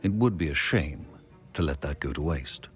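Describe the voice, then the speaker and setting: A man playing a stern, exacting middle-aged professor in a game cutscene, measured and dry. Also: rumbling low, lively intonation, faint music behind.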